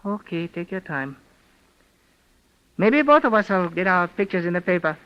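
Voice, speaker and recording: An actor playing a cynical, wisecracking doctor, blunt and dry, in a 1940s radio mystery. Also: male voice, sits high, big pitch swings, normal speed, background noise. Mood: resigned, wry. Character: cynical, sardonic male doctor, detective's friend. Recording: dull, lo-fi vintage radio recording.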